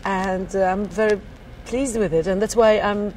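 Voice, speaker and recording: A woman's voice: medium pitch, fairly even intonation, moderate pace, a little mellow, a little hiss or hum, rough recording. A middle-aged woman from Poland with a Polish accent, chatting about her music in an interview and laughing often. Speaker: singer interviewed. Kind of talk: casual interview chat. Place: backstage at a theater.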